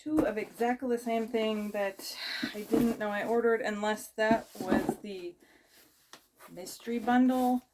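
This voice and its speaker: A woman's voice: fairly high pitch, fairly even intonation, unhurried, very noisy. A young woman with a cold chatting casually as she unboxes items, rambling with many fillers and restarts. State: has a cold, losing her voice. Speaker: vlogger.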